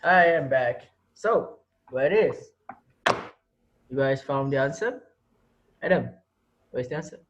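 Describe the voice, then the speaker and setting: A man's voice: medium pitch, animated, slow, pauses often, fairly bright tone, highs cut off, a little hiss or hum. A man playing a sinister, taunting teacher holding his students captive in an amateur dramatic reading. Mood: coldly controlled. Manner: theatrical, taunting. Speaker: actor in a student reader's theater.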